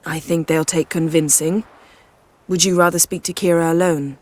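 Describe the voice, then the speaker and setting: An actress playing a commanding, shrewd sorceress in a video game, composed, formal and decisive. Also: female voice, lowish voice, flat delivery, normal speed, darkish tone, natural voice. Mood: firm, persuasive.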